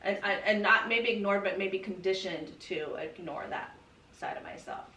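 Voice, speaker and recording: A woman's voice: medium pitch, moderate pace, bright, forceful tone. A young American woman from California talking candidly and casually, peppering her speech with swearing, "like" and "you know". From California, United States. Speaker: podcast guest sharing her personal story. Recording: roomy echo, distant from the mic.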